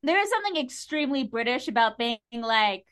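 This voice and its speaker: Female guest, higher-pitched voice, casual and opinionated conversational delivery. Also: very animated, fairly quick, a bit bright. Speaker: podcast guest.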